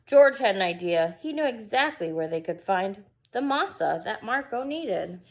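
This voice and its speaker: A woman's voice: medium pitch, expressive, moderate pace. A woman reading a picture book aloud to young children, expressively and with casual asides. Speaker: preschool storyteller.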